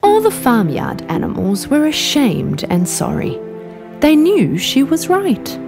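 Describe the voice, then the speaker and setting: A woman's voice: sits high, huge pitch swings, slow pace. A woman narrating a scripted children's bedtime story as the storyteller. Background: background music.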